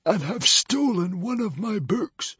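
A man's voice: high-pitched, lively intonation, slow, sharp tone, breathy edge, no room sound, voice pushed up, exaggerated voice. A man voicing a hissing, whiny male librarian villain in an audiobook reading. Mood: menacing, screeching.

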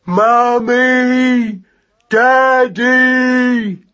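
A man voicing an earnest, simple-minded young giant mouse in a storybook, speaking slowly in broken baby talk. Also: high voice, husky, lo-fi sound, pitched above the performer's own voice, full character voice. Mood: anguished.

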